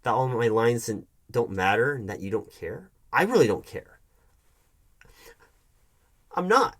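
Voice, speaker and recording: A man playing a defensive, wounded hiring manager arguing with his ex in a conversational audio roleplay, halting and often throwing questions back. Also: medium pitch, sing-song, fairly bright tone. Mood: hurt, flustered. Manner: defensive, halting, repeats the other's accusations as questions. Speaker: roleplay performer. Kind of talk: one-sided audio roleplay, conversational acting.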